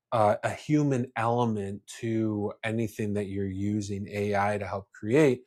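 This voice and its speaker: A man hosting a casual business discussion, speaking in a blunt, opinionated way. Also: mid-range voice. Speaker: podcast host.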